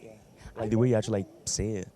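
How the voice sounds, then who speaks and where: A man's voice: lowish voice, level tone, racing, dark tone. A man interviewing people on the street, asking short, curious questions.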